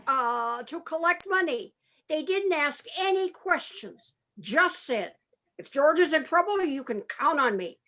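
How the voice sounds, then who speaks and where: A woman playing a kindly, excitable uncle with halting speech in an amateur radio play over a video call. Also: very high-pitched, heavily acted.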